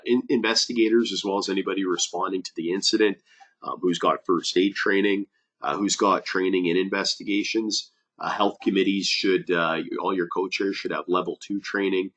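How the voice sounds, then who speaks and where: Deep-voiced guy w a stuffy cold, safety advisor teaching, lots of uhs and restarts. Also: a bit bright. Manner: halting, many fillers and restarts. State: nasal from a cold. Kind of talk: webinar presentation.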